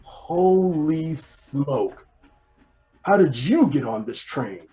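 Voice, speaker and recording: A man playing a brusque, exasperated, wisecracking police detective in an old-time radio comedy mystery, gruff and sardonic. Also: a little high, lively intonation, drawn-out words, mellow, soft background music. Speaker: voice actor.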